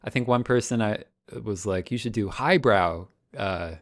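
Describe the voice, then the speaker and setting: A man speaks as a podcast guest in a casual chat. His voice is very low, and he laughs easily and often pauses with ums. The sound is close and dry. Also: no room sound.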